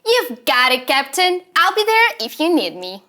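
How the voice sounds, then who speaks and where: A woman's voice: sits high, big pitch swings, normal speed, sharp tone, heavily acted. A woman at a table read playing a sweet, apologetic young ensign, flustered and eager to please.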